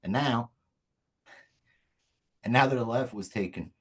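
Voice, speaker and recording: A man's voice: mid-range voice, unhurried, fairly bright tone, slightly rough. A man playing a brash, foul-mouthed, emotional small-time hitman in a comedic script table read. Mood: regretful.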